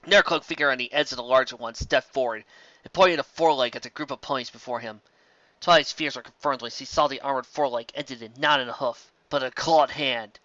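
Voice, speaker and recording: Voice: medium pitch, pushed and bright, no echo, lo-fi sound. A narrator reading a fantasy story aloud in a dramatic scripted style.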